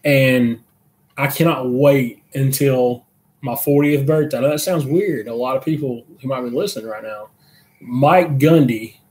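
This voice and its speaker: A man's voice: medium pitch, a little slow, a little mellow. A man in his late thirties co-hosting a podcast in casual chat, emphatic and repeating words for effect. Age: 30s. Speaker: podcast co-host.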